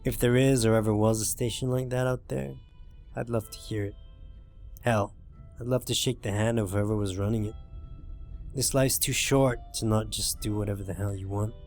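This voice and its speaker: A man voicing a weary, frightened driver in a zombie visual novel, reading first-person lines in a subdued, halting, brooding way. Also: mid-range voice, darkish tone, natural voice. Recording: music behind the voice.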